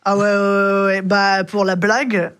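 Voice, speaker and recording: A man's voice: sits high, flat delivery, a little slow, fairly bright tone, clean tone. A man, a rideshare driver, telling stories from his rides in a rambling, casual way, full of slang and fillers. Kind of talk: casual storytelling.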